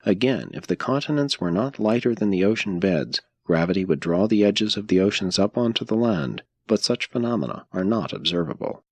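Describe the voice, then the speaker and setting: A man reading scripted audiobook narration in a measured, solemn and formal manner. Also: fairly low pitch, expressive, darkish tone, no echo.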